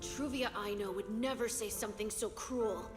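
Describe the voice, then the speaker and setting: A woman's voice: medium pitch, normal speed, in a large hall, over music, natural voice. A woman voicing a hurt, pleading young friend in an anime-style game. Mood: hurt, disbelieving.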